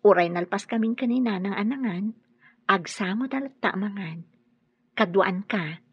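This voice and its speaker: Radio drama role: devoted, gently chiding young sweetheart, Ilocano accent, soft-edged husky tone, dry recording. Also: female voice, medium pitch, normal speed, dry recording, lo-fi sound. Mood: caring. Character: caring, fussing young sweetheart woman.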